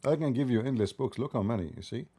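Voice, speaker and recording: A middle-aged man with a dark, mellow voice. He needles and mocks, firing repeated pointed questions in a sarcastic livestream debate. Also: medium pitch, fast, slightly rough. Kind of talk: mocking, provocative debate talk.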